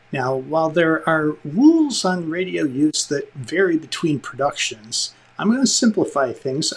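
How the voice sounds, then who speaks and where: A man's voice: a little high, a bit bright, breathy edge. A man presenting an instructional lesson to viewers in a conversational, emphatic way, like a director explaining the basics.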